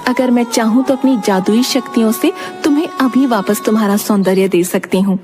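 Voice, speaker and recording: A woman's voice: a little high, a little fast, music in the background, close to an everyday voice. A woman voicing a stern divine fairy with magic powers, solemn and admonishing, in a cartoon tale.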